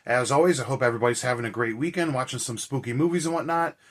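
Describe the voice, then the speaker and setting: Raspy man chatting about movies. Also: mid-range voice, racing. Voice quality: raspy, hoarse. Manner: rambling, conversational, slangy. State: hoarse and tired after a cold and shouting. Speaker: horror movie reviewer and vlog host. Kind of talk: casual chat to camera.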